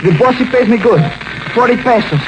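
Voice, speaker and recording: A blunt little henchman threatens in broken, Spanish-accented English. His voice sits very high. The old radio drama recording is clearly noisy. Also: brisk, dull audio. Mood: frightened, defiant. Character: jumpy, threatening, simple-minded Spanish-speaking henchman. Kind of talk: 1940s radio acting.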